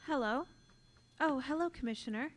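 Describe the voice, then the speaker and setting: A woman's voice: sits high, moderate pace, natural voice. A woman playing a poised, polite, concerned heroine in a 1930s radio drama, speaking earnestly. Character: poised, concerned young lady companion of a vigilante. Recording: noticeable noise and clicks.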